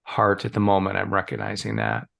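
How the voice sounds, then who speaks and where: A man's voice: deep voice, sing-song, brisk, gravelly, fairly bright tone. A man in a playful, musing conversation as a guest on a video call, thinking aloud and joking lightly. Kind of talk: casual conversation.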